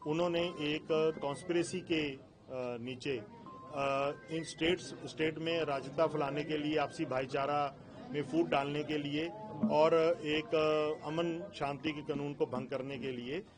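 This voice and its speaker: Punjabi-accented police officer, higher-pitched voice. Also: male voice, fairly even intonation, over music. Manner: halting, explanatory. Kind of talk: press briefing in Hindi mixed with English.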